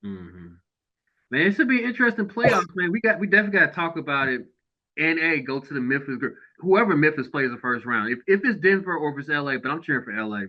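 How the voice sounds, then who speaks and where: A man hosting a podcast, chatting casually about basketball in a lively way, laughing often and dropping into low mutters. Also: fast. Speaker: podcast host. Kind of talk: casual sports chat. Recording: dull lo-fi sound.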